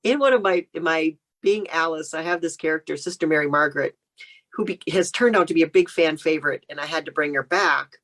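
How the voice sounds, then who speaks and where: Low-voiced American woman in conversation. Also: fairly quick, a bit bright. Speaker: guest novelist. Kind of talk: casual interview conversation.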